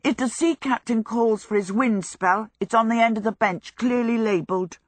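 A woman voicing an agitated, hurried but polite young male apprentice in a storybook narration. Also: a little high, no echo, clean studio sound, pitched above the performer's own voice, natural voice.

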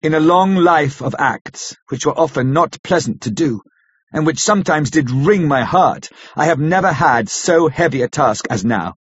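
A man voicing a grave, elderly foreign professor in an audiobook, stern yet compassionate, speaking in solemn, halting, formal phrases. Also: on the high side, animated, husky, no echo, muffled recording. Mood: pleading, sorrowful. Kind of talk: dramatic audiobook character reading.

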